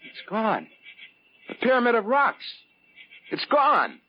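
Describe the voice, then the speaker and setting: An actor playing an anxious, blunt American adventurer stranded in time in a 1950s radio drama. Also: male voice, very high-pitched, huge pitch swings, takes it slowly, heavily acted. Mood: urgent, alarmed. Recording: noisy muffled 1950s radio recording.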